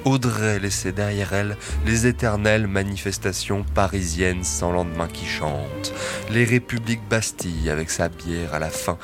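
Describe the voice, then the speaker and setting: Very deep male actor as a mock-epic storyteller. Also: normal speed, close to an everyday voice. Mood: grandiose, wry. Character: grandiose satirical male storyteller of a radio comedy. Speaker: narrator. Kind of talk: mock-epic scripted narration. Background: prominent music.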